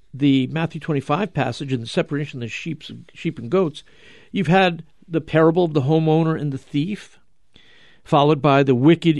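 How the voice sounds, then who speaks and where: High-pitched, gravelly male commentator. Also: darkish tone, no room sound, clean studio sound. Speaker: host of a religious commentary show. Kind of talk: religious commentary with dramatic scripture reading.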